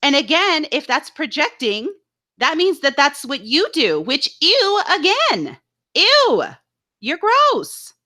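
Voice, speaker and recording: Bright, indignant woman ranting. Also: sits high, wildly swooping pitch, slow. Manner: indignant, scornful, repeats herself. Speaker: co-host. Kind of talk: heated casual talk show rant.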